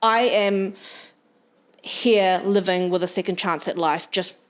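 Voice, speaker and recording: A woman's voice: on the low side, steady tone, unhurried. A young adult woman with a New Zealand accent, a podcast guest talking frankly in casual chat, with the odd swear word.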